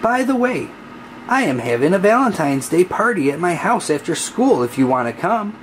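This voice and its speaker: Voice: medium pitch, very animated, normal speed, a little hiss or hum, natural voice. A storybook reader voicing a young female cat who is upbeat, insistent and reassuring to a friend. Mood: enthusiastic.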